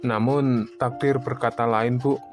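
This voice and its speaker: A man voicing a calm, sympathetic doctor with a formal, measured manner in an animated drama. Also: medium pitch, faint music behind, natural voice. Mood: sympathetic, solemn. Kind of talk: animated horror drama dubbing.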